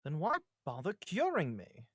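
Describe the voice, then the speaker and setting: Brave captive hero, read by a man: animated intonation, defiant questions, dry close sound. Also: fairly high pitch, moderate pace, breathy edge, no echo, exaggerated voice. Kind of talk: live script table read.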